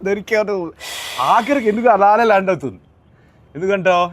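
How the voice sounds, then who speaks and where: A man's voice: high-pitched, fast talker, a little hiss or hum. A man chatting casually in short interjections.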